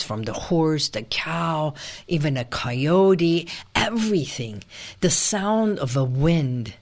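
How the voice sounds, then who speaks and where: A middle-aged woman from Iran hosting a radio show, talking in a soft, meditative way with sighs and breathy pauses. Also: low-pitched. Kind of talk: reflective solo radio talk.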